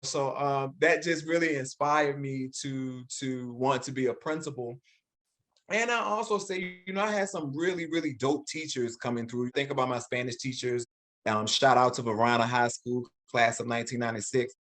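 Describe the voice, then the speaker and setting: A man's voice: medium pitch, normal speed. A man in his forties, an educator, speaking reflectively in an interview and often repeating words as he thinks. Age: 40s. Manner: reflective, animated when quoting, repeats words. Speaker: guest, educator. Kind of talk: interview conversation.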